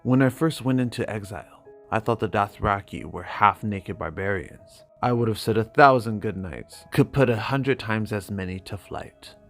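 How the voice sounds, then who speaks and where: A man voicing a seasoned exiled knight and loyal advisor, speaking gravely and with measure in a dramatic fantasy reading. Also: fairly low pitch, moderate pace, hardly any pauses, faint music behind, natural voice. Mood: grave reflection.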